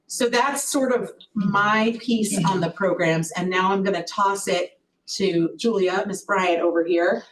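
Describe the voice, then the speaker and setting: A woman with a loud voice reporting at a board meeting, chatty, with many fillers and restarts. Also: mid-range voice, unhurried. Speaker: collaborative executive director. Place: meeting room. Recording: distant mic with faint noise.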